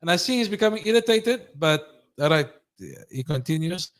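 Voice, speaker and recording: A man hosting a podcast with a slightly high voice. He tells his story in an animated way and gives each quoted person a voice of their own. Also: normal speed. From not Egyptian. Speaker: podcast host. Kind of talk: casual conversation.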